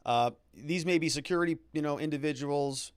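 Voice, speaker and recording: A man's voice: a little high, fairly quick, smooth, no echo. A man hosting a livestream of legal commentary, thinking aloud conversationally with hedges and repeated words for emphasis.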